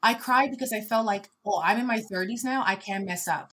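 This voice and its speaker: Bright, expressive woman in her thirties. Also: medium pitch, brisk, slightly rough. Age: 30s. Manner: expressive, swings between soft reflection and loud laughing energy. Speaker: guest. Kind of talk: casual conversation.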